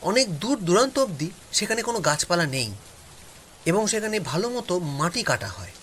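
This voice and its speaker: A man narrating a first-person story in a dramatic, suspenseful way with expressive, drawn-out pauses. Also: sits high, a little hiss or hum.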